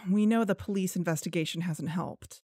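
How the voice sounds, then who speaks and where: Actress as earnest young podcast investigator. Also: female voice, lowish voice, a little fast, a little mellow, dry recording, studio quality, close to an everyday voice. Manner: earnest, coaxing. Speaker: podcast host. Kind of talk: fiction podcast narration and phone dialogue.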